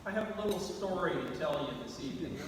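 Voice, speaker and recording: Male award presenter, slightly high voice, telling a story deliberately in a noisy, reverberant hall. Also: expressive. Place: large banquet or awards hall over PA. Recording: very echoey hall, distant mic, noisy.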